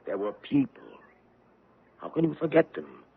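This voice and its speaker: An actor playing a stern, relentless hunter of a war criminal in a radio drama, grave, insistent and accusing. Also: male voice, on the high side, sing-song, moderate pace, gravelly, breathy edge, full character voice. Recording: noisy, tinny old radio recording.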